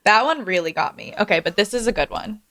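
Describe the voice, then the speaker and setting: A woman's voice: medium pitch, animated, fast talker, crowd noise. A woman co-hosting a podcast in casual, informal, giggly chat. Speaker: podcast co-host.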